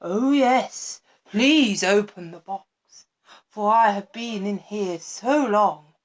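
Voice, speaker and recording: A huge, defiant demon from a storybook, bellowing his words slowly and loudly, as a woman puts him on. The recording is dull and lo-fi. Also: natural voice. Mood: pleading. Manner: booming, drawn-out roars. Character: huge roaring male demon, freed and defiant. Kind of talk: storybook reading.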